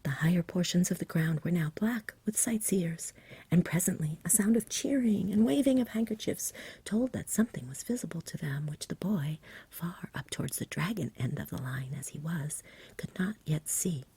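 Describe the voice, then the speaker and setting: Very breathy, soft whispering woman narrator. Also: lowish voice, lively intonation, no echo. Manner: gentle, slow, soothing. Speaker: ASMR storyteller. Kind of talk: ASMR bedtime story reading, scripted narration.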